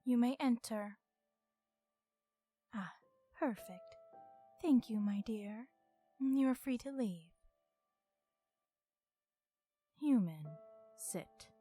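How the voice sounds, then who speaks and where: An ancient female vampire, acted out by a woman for an ASMR roleplay, speaks in a soft, mellow tone over faint music. She is haughty, possessive and coolly refined, choosing formal words and often dropping to a hush. Her delivery is slow and stern, with irritation held under control. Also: on the high side, expressive, husky, full character voice. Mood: curt, commanding. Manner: haughty, measured, formal phrasing, often hushed.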